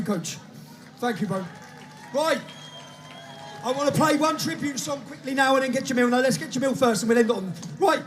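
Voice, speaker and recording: Very high-voiced man emceeing a rally. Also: slight background noise. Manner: brisk, shouting announcements. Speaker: rally compere. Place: outdoor street rally.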